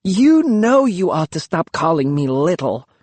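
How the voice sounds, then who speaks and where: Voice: medium pitch, very animated, unhurried, darkish tone, no echo, voice pushed up. A narrator voicing a bold, teasing young girl. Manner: playful, insistent.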